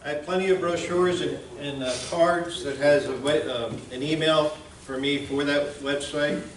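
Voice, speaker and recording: A man giving an informal presentation, speaking in a plain-spoken, folksy, halting way. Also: on the high side, steady tone. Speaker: presenter, radio station founder. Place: indoor museum event room. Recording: roomy, distant mic, clear background noise.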